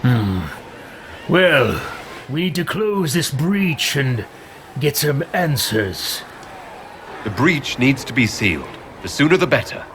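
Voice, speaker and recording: A man's voice: medium pitch, big pitch swings, a bit bright, a little hiss or hum. A man voicing an irritable, self-important fantasy hero in a video game roleplay, imperious and contemptuous. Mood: demanding. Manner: imperious, curt, slow and contemptuous.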